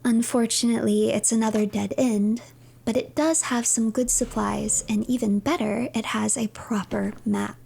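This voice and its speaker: A woman narrating a horror game as a dramatic third-person story, with breathy dips toward a whisper. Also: fairly high pitch, steady tone, moderate pace, darkish tone.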